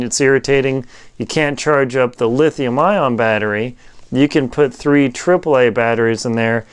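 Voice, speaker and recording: Clear-voiced man, casual product reviewer. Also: mid-range voice. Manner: conversational, matter-of-fact, colloquial. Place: indoor studio.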